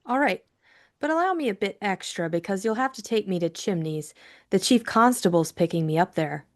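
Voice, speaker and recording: A woman voicing a spirited, frank young woman in an audiobook, brisk and matter-of-fact. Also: medium pitch, animated, close to an everyday voice. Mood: teasing. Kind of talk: audiobook character acting.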